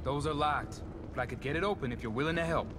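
A man's voice: fairly high pitch, fast, noisy background, natural voice. An actor playing a nervous male programmer and hacker in a video game, talking in a wheedling, persuasive way. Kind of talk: video game cutscene acting.

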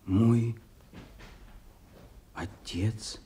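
A man's voice: lowish voice, steady tone, very slow, mellow, slight background noise. An actor playing a stoic, brooding young outcast nobleman, speaking a soliloquy in classical radio tragedy style. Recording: vintage Soviet radio drama recording.

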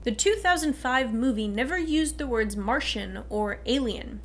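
A woman's voice: a little high, expressive, moderate pace. A young woman co-hosting a scripted explainer, reading out narration as a presenter. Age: young adult. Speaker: co-host.

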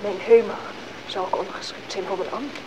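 An actor playing a smooth, self-satisfied court minister with a courtly, flattering manner in a Dutch audio fairy tale. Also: medium pitch, moderate pace, noisy background, natural voice.

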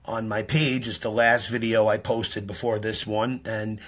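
Tired earnest man, bright tense voice. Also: fairly low pitch, little pitch movement, unhurried. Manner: earnest, rambling explanation with many ums. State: tired, slightly hoarse. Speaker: online performer explaining his act. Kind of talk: casual talk to camera. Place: small room indoors. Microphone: webcam or phone at close range.

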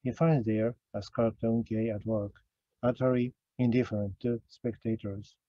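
A man's voice: fairly low pitch, steady tone, no room sound. Man reading a conference talk, lots of uhs and restarts, dry muffled sound. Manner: slow, careful, with frequent hesitations and self-corrections. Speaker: academic speaker. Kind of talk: recorded conference lecture.

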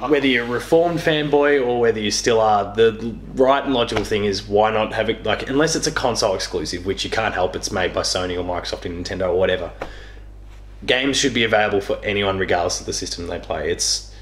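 A man's voice: fairly low pitch, fast talker, a little hiss or hum. A young man telling gaming stories in casual chat as a guest, in a rambling, self-correcting way. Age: young adult. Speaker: interview guest. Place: small living room, on a couch. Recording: echoey living room.

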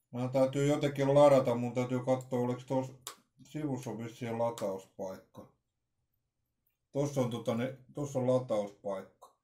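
A man's voice: medium pitch, a little mellow. A man in his forties casually unboxing walkie-talkies at home, thinking aloud and trailing off with many fillers and restarts. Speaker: vlogger unboxing radios. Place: small room at home with shelves. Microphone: webcam at desk.

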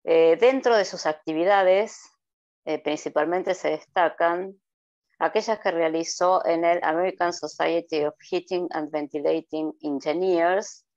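A woman's voice: fairly low pitch, fairly even intonation, unhurried, darkish tone, no room sound, dull audio. A middle-aged woman, explaining on a podcast over a video call, with frequent hesitant fillers. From Argentina. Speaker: guest presenter. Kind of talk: conversational podcast talk.

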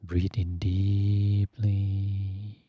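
Very slow, low-voiced man guiding meditation. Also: little pitch movement, breathy edge, no echo, highs cut off, faint music behind. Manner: gentle, instructive, phrase by phrase with long pauses. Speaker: Buddhist monk and meditation teacher. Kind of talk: guided meditation.

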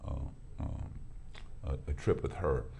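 A man's voice: a bass voice, unhurried, creaky, warm tone, slightly rough. A middle-aged man from Los Angeles, a pastor hosting a TV studio interview, talking in a rambling way with lots of repeated words. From Los Angeles, California. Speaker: pastor and talk show host. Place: TV studio with backdrop.

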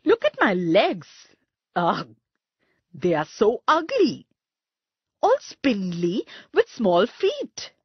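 Woman voicing a vain, expressive stag, slightly husky. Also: medium pitch, very slow, long pauses, dry recording, dull audio, exaggerated voice. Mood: disgusted. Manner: self-admiring, expressive. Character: vain male stag admiring and scorning his own looks. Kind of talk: children's storybook acting.

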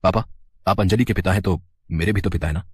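A man's voice: deep voice, fast, breathy edge, no room sound, clean studio sound. A man voicing a caring, earnest young husband and son-in-law in a family story.

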